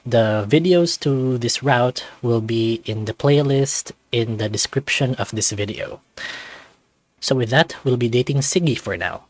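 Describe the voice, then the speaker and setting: A man's voice: medium pitch, measured pace, no echo. A man hosting a casual playthrough, reading a visual novel's narration aloud.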